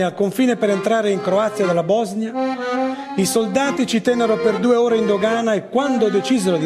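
A man narrating a theatre piece as a dramatic literary reading. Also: sits high. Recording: voice over accordion music.

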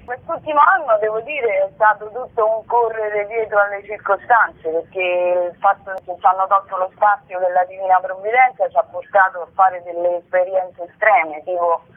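A woman's voice: mid-range voice, fairly even intonation, moderate pace, hardly any pauses. Woman, theatre artist giving a radio interview over a thin phone connection. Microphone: telephone. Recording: narrow tinny phone line.

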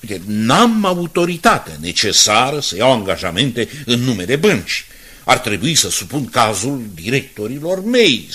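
Husky, animated, apologetic bank manager. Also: male voice, medium pitch. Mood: apologetic, cautious. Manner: hesitant, repeating himself. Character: apologetic, evasive bank manager, friendly but cautious. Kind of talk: Romanian radio drama acting.

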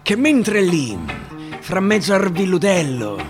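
High, animated Roman storytelling man. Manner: dramatic, with deliberate repetitions and pauses. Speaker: actor and storyteller. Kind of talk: theatrical dialect verse storytelling. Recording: live guitar behind the voice.

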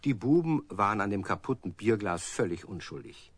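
Low, husky male storyteller. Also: animated, moderate pace, no room sound. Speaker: narrator. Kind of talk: scripted children's story narration.

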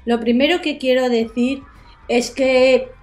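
Higher-pitched woman presenting over soft music. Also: fairly even intonation, takes it slowly. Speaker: segment presenter on a radio show. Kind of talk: conversational storytelling.

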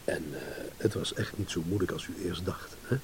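An actor playing a suave, evasive ladies' man in a radio play, speaking with irony and deliberate pauses. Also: deep voice, fairly even intonation, darkish tone, lightly acted. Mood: evasive, brisk. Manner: smooth, ironic, measured with deliberate pauses. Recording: hissy old radio play recording.

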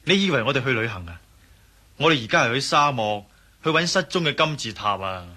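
An actor playing a cocky French viscount and desert guide in a Cantonese radio drama, speaking Hong Kong Cantonese with a blunt, self-assured manner. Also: male voice, medium pitch, big pitch swings, brisk, pushed and bright. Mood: blunt, scolding.

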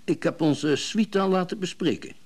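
An actor playing a wealthy, indulgent but watchful partner in a radio drama, speaking in a hesitant, measured way. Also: male voice, high voice, darkish tone, husky. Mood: probing.

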